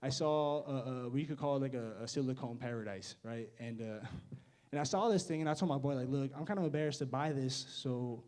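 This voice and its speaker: A man's voice: medium pitch, brisk, a little mellow. A young man doing a stand-up set, awkward and self-mocking, laughing at his own jokes. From United States. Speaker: stand-up comedian.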